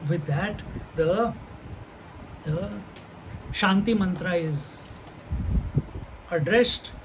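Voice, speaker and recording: A man's voice: high voice, background noise. An older man teaching scripture, speaking unhurriedly and posing rhetorical questions to his students. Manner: chants Sanskrit verses slowly. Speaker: spiritual teacher. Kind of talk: scripture class talk.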